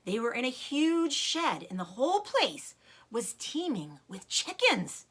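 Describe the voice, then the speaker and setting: A woman storyteller reading a children's book aloud in an expressive narrating style with dramatic emphasis. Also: high-pitched, measured pace, breathy edge.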